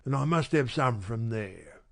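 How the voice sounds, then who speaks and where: A man's voice: medium pitch, animated, measured pace, gravelly. A man voicing a surly, bitter housebreaker who growls and demands. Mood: demanding. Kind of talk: audiobook character reading.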